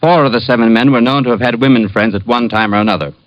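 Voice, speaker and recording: A man's voice: mid-range voice, fast talker, no echo, lightly acted. A man playing a pedantic, humourless police sergeant in an old-time radio drama, stiff and precise. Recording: dull, dry vintage radio recording.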